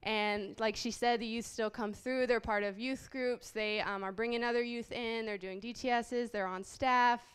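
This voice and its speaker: A woman's voice: fairly high pitch, steady tone, moderate pace, hardly any pauses, smooth. A woman in her late twenties, from Haiti, telling a heartfelt personal testimony as a guest speaker, earnest and full of small ums. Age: late 20s.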